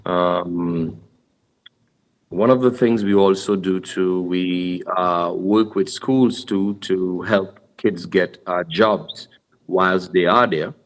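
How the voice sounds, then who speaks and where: A man's voice: low voice, steady tone, takes it slowly, poor audio. A man from Trinidad answering audience questions at a sports symposium, earnest and explanatory, often restarting his words. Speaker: basketball program speaker.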